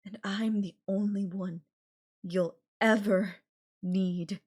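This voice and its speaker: Slow-talking, possessive yandere girlfriend played by a woman. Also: mid-range voice, steady tone, darkish tone, breathy edge. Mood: smug, possessive. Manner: slow, coaxing, affectionate talk with veiled threats. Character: possessive yandere girlfriend, sweetly menacing captor, adult woman. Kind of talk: intimate audio roleplay addressed to the listener.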